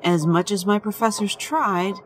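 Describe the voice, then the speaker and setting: A woman playing a calm, matter-of-fact hospital doctor in an audio drama, with a measured clinical manner. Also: medium pitch, a little hiss or hum, lightly acted. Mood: puzzled, clinical. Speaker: voice actor.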